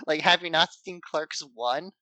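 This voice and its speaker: A man's voice: on the high side, normal speed, bright, forceful tone, no room sound. A man casually discussing films as a podcast co-host, conversational and hesitant, often restarting his sentences.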